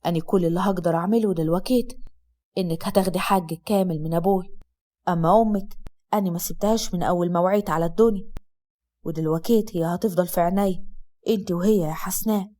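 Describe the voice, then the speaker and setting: A firm, tender young husband, pitched low and quiet, as a woman narrator puts him on. He keeps his words soft but commanding, and the delivery moves quickly. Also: natural voice. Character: stern, tender young Upper Egyptian husband.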